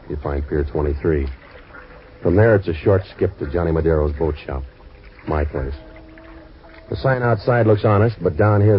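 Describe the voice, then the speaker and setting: An actor playing a wisecracking waterfront boat-renter turned private eye, narrating in hard-boiled first person. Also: male voice, sits low, lightly acted. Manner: dry, sardonic wisecracks. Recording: dull old radio recording with faint hiss.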